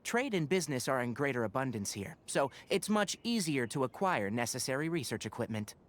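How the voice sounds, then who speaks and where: An actor playing a sensible, studious young scholar in a video game, articulate and dry in manner. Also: male voice, mid-range voice, big pitch swings, a little fast, slight background noise, lightly acted. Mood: thoughtful.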